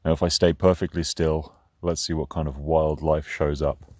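A man's voice: very deep voice, flat delivery, moderate pace, a little mellow, no echo. A man in his thirties vlogging from a bush campsite, talking with dry, sarcastic humor. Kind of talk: deadpan camping vlog narration.

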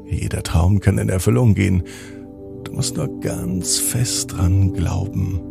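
A man's voice: low-pitched, a little slow, vocal fry, over music. A man narrating a bedtime story for children as the host and storyteller.

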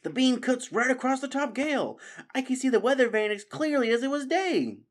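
A man's voice: very high voice, lively intonation, fairly quick, pitched above the performer's own voice. A man putting on a plain-spoken female creature. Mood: hushed. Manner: murmuring and plain.

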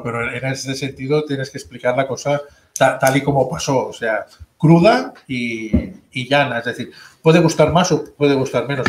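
A man's voice: medium pitch, slight background noise. A man in his fifties from Barcelona, presenting his history book at a table microphone in a library hall, speaking emphatically and repeating words as he argues. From Barcelona, Spain. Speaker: book author.

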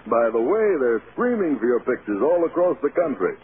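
A man's voice: mid-range voice, very animated, normal speed, narrow, tinny audio, close to an everyday voice. An actor playing a kindly studio boss in a vintage radio drama, fatherly and businesslike. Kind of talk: 1930s-40s radio drama acting. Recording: noisy, band-limited vintage radio.

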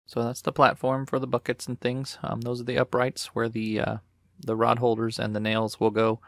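A man's voice: medium pitch, monotone, moderate pace, creaky, no room sound, clean studio sound. A middle-aged man narrating a woodworking build in a matter-of-fact voice-over, with frequent ums. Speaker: DIY vlogger.

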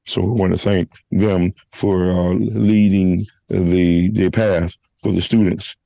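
Hoarse, gravelly low-voiced male host. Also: little pitch movement, mellow, no room sound, dull audio. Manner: leads prayer and announcements, halting restarts. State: hoarse from a flu. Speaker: podcast host. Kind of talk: casual church podcast chat.

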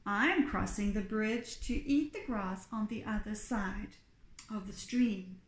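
A woman's voice: medium pitch, slow, reverberant, lightly acted. A woman voicing a modest, earnest middle-sized male billy goat in a storybook.

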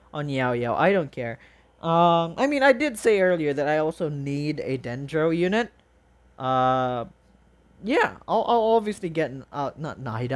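A woman hosting a reaction video, gushing informally about a game trailer with the odd mild swear. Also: low voice. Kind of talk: excited casual reaction commentary to a game trailer.

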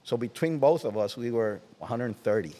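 An older man from Puerto Rico, speaking English with a Puerto Rican Spanish accent, tells stories as an interview guest in a relaxed way, often trailing off into soft asides. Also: mid-range voice, moderate pace, big-room sound. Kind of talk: casual interview. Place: large watersports shop, indoors. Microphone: headset.

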